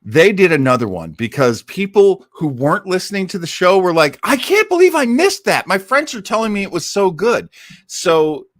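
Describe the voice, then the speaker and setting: A man's voice: sits high, sing-song, moderate pace, no echo, clean studio sound. A man speaking as a host in casual, enthusiastic and digressive commentary to his live audience, with lightly dramatic emphasis. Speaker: host of an old-time radio listening party.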